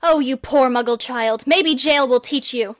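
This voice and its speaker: A woman's voice: sits high, measured pace, dry recording, studio quality. A woman voicing a pompous, self-proclaimed boy wizard hero who makes grandiose, bossy proclamations.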